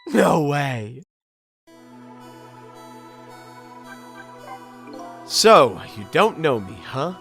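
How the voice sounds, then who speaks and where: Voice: mid-range voice, big pitch swings, slow, gravelly, a bit bright, soft background music, heavily acted. An actor playing a bewildered, polite amnesiac spirit with dry wit in a video game fan dub, hesitant and given to exaggerated surprise. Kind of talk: dramatic fan dub with inner monologue.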